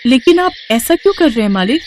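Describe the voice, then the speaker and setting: A woman's voice: sits high, sing-song, quick pace, darkish tone, slight background noise, voice pushed up. A woman voicing a poor, devout, caring village wife and mother in an earnest, pleading way for a story. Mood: worried.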